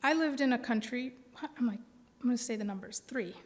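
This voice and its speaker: An American woman in her forties, a poet giving a live reading with deliberate phrasing and pauses. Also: a little high. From Milwaukee, American Midwest. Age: 40s. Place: indoor reading before a live audience. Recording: reverberant hall sound.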